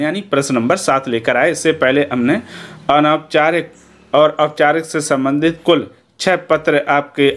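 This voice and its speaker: A man with an Indian accent giving an instructional lesson as a teacher, explaining methodically step by step and checking in after each point. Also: on the high side. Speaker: Hindi teacher.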